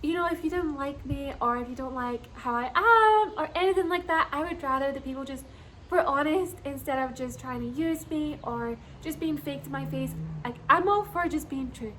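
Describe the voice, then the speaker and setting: A woman's voice: sits high, normal speed, a bit bright, a little hiss or hum, poor audio. A young woman from Scotland, chatting casually and bubbly to her viewers, giggling often. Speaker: vlogger. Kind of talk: casual chat to camera.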